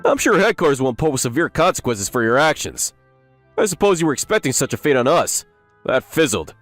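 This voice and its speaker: A man's voice: fairly high pitch, big pitch swings, brisk, fairly bright tone, soft background music. A man playing a pompous, theatrical, corrupt office boss in a manga dub. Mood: gloating.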